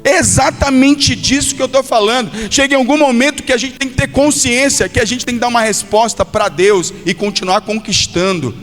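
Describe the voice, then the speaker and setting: High-voiced Brazilian male pastor preaching. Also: fairly even intonation, brisk, few pauses, a bit bright. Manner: exhortative, direct address with acted-out quotes and repetition. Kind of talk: sermon. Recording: long hall reverb, music behind. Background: music.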